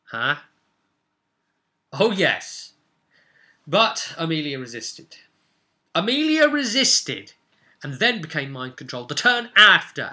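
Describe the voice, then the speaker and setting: A man working as a game master, giving a casual, unscripted recap of a tabletop role-play session in a chatty, animated storytelling manner. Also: on the high side.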